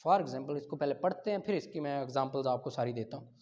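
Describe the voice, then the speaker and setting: A man's voice: medium pitch, fast, mellow. A man with a Pakistani accent giving an explanatory law lecture with examples, asking and answering his own questions and mixing in English terms. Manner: switches between Urdu and English legal terms. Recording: dull lo-fi sound.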